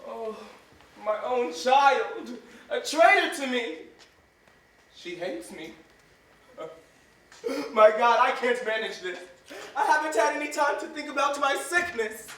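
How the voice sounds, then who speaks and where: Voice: high voice, lively intonation, slow pace, a bit bright, full character voice. A stage actor playing a fussy hypochondriac master of the house, whining and complaining theatrically in a live play. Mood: self-pitying. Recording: echoey theater from far off, noisy.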